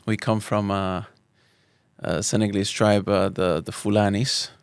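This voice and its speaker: A man's voice: on the low side, flat delivery, unhurried, gravelly, clean tone, dry recording, studio quality. A man in his thirties from Spain, a podcast guest telling his life story in a thoughtful way, often restarting and mixing in Arabic phrases. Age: 30s. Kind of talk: relaxed personal storytelling.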